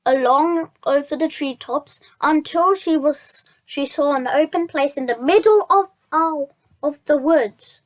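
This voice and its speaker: Storybook reader, high pitch, very unhurried, halting delivery with repeated words. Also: poor audio. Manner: halting, stumbles over words and repeats phrases. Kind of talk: children's story read aloud.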